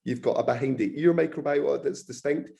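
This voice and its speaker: A man with a croaky, slightly hoarse throat, explaining research as a podcast guest, eager and technical. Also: medium pitch, fast, mellow.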